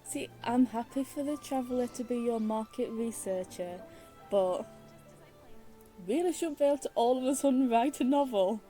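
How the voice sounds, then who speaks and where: A woman's voice: fairly high pitch, moderate pace, warm tone, faint music behind, rough recording. A woman with a British accent giving casual, opinionated, wry commentary as she plays a game. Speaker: game streamer.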